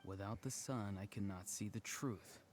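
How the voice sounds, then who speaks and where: Actor as deep, dreamy philosopher. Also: male voice, normal speed, darkish tone, slight background noise, close to an everyday voice. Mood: serene. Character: dreamy, pompous male philosopher captive, serenely cryptic. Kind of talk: video game voice acting.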